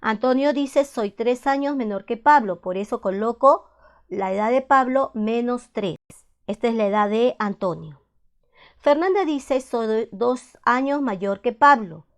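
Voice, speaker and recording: A woman's voice: fairly high pitch, unhurried. A woman math teacher, patiently explaining algebra step by step, repeating each point and asking checking questions. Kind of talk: slow step-by-step classroom explanation.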